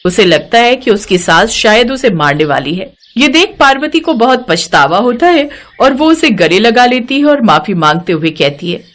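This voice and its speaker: A woman's voice: a little high, very animated, moderate pace, few pauses, a bit bright. A woman storyteller giving scripted narration of a moral tale.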